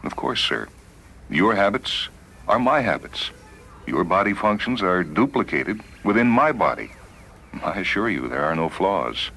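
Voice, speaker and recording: A man voicing a polite, dutiful android double of a spaceman in a dramatised story, speaking in a formal, measured, deferential way. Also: sits low, sing-song, creaky, bright, forceful tone, pitched above the performer's own voice, heavily acted. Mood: calm, deferential. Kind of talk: dramatised short-story reading.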